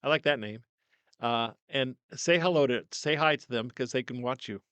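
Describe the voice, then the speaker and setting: An older man hosting a casual interview in a small studio, talking loudly and coaxingly into a directional mic. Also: medium pitch, normal speed, smooth, no room sound, dull audio. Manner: loud, coaxing, chatty. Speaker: host.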